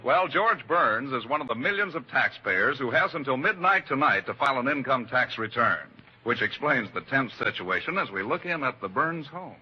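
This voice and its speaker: A man's voice: medium pitch, very animated, normal speed, hardly any pauses. A man announcing and narrating a comedy show from a script in 1940s radio style, on an old broadcast recording. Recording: dull old radio recording over music.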